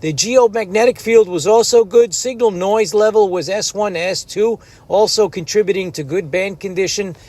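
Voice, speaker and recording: A man's voice: high voice, normal speed, few pauses, a little mellow, no echo. A man who makes amateur radio videos, speaking casually as he narrates and operates.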